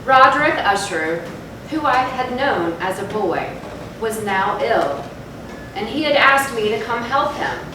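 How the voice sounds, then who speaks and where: A woman giving a measured, solemn dramatic narration of a gothic story in a stage reading. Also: on the high side, sing-song. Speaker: narrator in a readers' theatre. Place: live theatre stage, recorded from afar. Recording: very echoey, distant, noisy hall.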